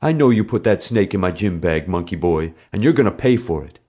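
Casting: man as blunt, hot-headed school bully in a storybook reading, demanding and forceful in delivery. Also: sits low, expressive, normal speed. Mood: threatening.

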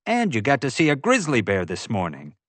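A man voicing an excitable, carefree adventure lover in an audiobook, talkative and casual. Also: mid-range voice, fairly quick, no echo. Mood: amazed. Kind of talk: audiobook character voice.

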